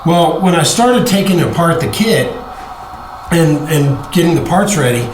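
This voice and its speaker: A man with a hoarse voice from a cold, hosting a casual hobby chat with a rambling, upbeat way of explaining things. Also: fairly high pitch, expressive, unhurried, roomy sound, slight background noise, rough recording. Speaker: host.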